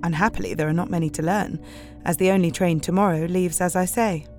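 A woman's voice: on the low side, fairly even intonation, fairly quick, a little mellow, faint music behind, natural voice. A woman playing a keen, well-organised Victorian wife, speaking precisely and politely in an audio drama. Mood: matter-of-fact, keen.

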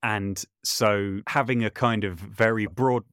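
A man's voice: on the low side, fairly even intonation, measured pace, fairly bright tone, clean tone, no echo, clean studio sound. A man speaking reflectively as an interview guest, feeling his way through sentences and often rephrasing as he goes. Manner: thoughtful, hesitant, self-correcting with repeated words. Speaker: podcast guest, composer and voice artist.

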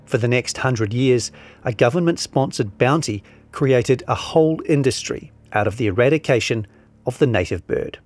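A man's voice: medium pitch, lively intonation, normal speed. A man giving a scripted narration of a long-form article.

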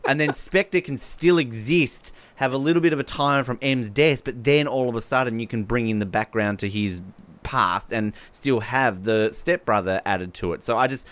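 Male podcast co-host, mid-pitched, rambling and opinionated film banter, jokey, dry close sound. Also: moderate pace, a bit bright, no room sound. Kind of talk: casual film commentary chat.

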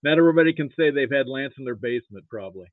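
A man in his fifties with an American accent, a podcast guest talking casually and haltingly, with frequent pauses. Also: mid-range voice, animated, dry recording. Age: 50s. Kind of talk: casual recollection. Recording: narrow, phone-like line.

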